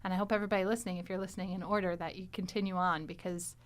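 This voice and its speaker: A woman hosting and editing a podcast, chatting in a studio, lively and quick to laugh. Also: lowish voice. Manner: animated, laughs often. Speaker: podcast host and editor. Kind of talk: casual chat.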